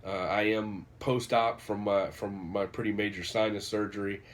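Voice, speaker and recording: Man, nasal and congested, lowish voice, rambling casual vlog talk. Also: fairly even intonation, normal speed. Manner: rambling, many fillers and restarts. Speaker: vlogger reviewing a book.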